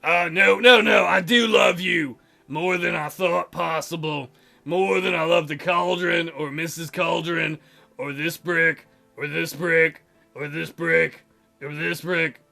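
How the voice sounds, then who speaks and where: A man performs an awkward young man in love in a story game. He speaks in a high, creaky voice, halting and earnest, full of shy tenderness. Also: steady tone, sharp tone, faint music behind, heavily acted. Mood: flustered devotion. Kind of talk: character voice in a visual novel read-aloud.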